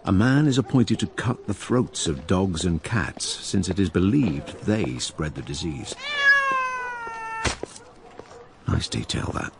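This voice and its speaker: Radio drama lead: imperious, sardonic diarist of stricken London, deep voice, very lively intonation. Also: male voice, measured pace, vocal fry, background noise, exaggerated voice. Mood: sardonic, confiding. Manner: sardonic, self-aware, theatrical asides. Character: wry, imperious male narrator-diarist of plague-stricken London. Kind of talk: radio drama narration and acting.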